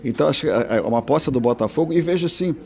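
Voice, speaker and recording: A man co-hosts a football panel, talking fast and in a chatty way. His voice is gravelly and dark, and it carries the frequent creak of someone speaking quickly and informally. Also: medium pitch, animated. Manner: fast and chatty, with many tag questions. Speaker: sports show co-host. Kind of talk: casual football panel talk.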